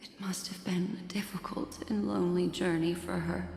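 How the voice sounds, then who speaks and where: A woman's voice: fairly low pitch, little pitch movement, moderate pace, a little mellow, a little hiss or hum. An actress playing a serious, analytical female companion in a video game, composed and questioning. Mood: pensive, questioning. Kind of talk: video game story voice acting. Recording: echoey hall reverb under clear music.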